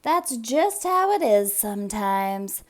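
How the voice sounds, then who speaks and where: A woman giving an expressive read-aloud of a children's picture book, with theatrical, drawn-out emphasis on her words. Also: fairly high pitch. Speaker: storybook reader.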